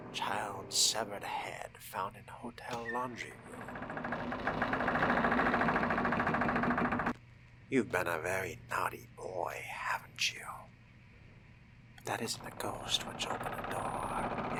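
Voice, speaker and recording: A man voicing a haughty fledgling vampire in a condescending, theatrical game roleplay monologue, speaking deliberately and often dropping to a whisper. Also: lowish voice, animated. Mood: wry, hushed. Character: haughty fledgling male vampire exploring a haunted hotel. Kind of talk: in-character roleplay monologue over a video game. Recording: music under the voice.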